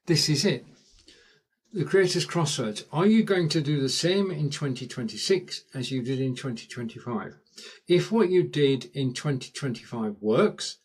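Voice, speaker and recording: A man's voice: mid-range voice, animated, a little slow, a little mellow, slightly rough. Chatty Lancashire man hosting a live stream. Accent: Northern English, Lancashire. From Lancashire, England. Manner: chatty, thanks viewers by name, rambling asides. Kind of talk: casual live-stream chat. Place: home in rural England.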